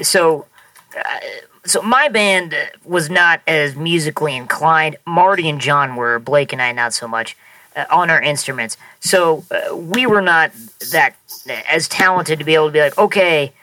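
A man's voice: a little high, normal speed, sharp tone, no room sound, low-quality recording. Curious, hesitant man guest. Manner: curious, hesitant, many fillers and restarts. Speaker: guest musician. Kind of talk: casual podcast chat.

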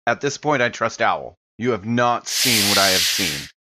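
A man's voice: on the low side, animated, moderate pace, very noisy. A man casually improvising narration of his character's actions as a player in a tabletop role-playing game.

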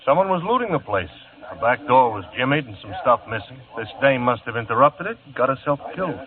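An actor playing a brisk, skeptical male police lieutenant on an old radio drama broadcast. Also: medium pitch, expressive, narrow, tinny audio, natural voice. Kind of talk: 1950s radio drama acting.

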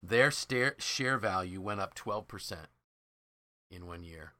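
A man's voice: deep voice, lively intonation, slow, sharp tone. An American man co-hosting a casual business podcast, explaining things through enthusiastic storytelling. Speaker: podcast co-host.

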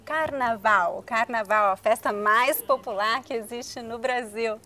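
A Brazilian woman hosting a casual language chat, saying words slowly and clearly for learners. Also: a little high, pushed and bright. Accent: Brazilian Portuguese. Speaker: host.

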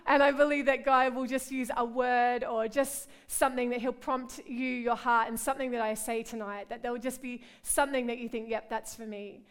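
A woman's voice: on the high side, little pitch movement, normal speed, few pauses, clear, resonant tone. A middle-aged woman from Australia with an Australian accent, preaching and praying at a women's gathering in an earnest, heartfelt way. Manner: warm, earnest, repeats "God" and "Lord" in prayer. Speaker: pastor. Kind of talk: women's conference sermon and prayer.

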